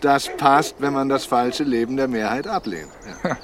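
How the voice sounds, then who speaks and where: A man's voice: medium pitch, moderate pace, a little hiss or hum, close to an everyday voice. A man playing a middle-aged anti-nuclear commune leader in a radio crime drama, curt and dismissive with a mocking brotherly tone. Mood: defiant, dismissive.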